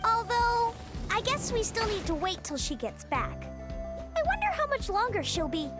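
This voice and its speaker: A squeaky, bubbly little sprite. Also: female voice, heavily acted. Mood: chatty. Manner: bubbly, quick, excitable. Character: tiny chirpy non-human companion sprite. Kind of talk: game voice acting. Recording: game dialogue over music. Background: game score music.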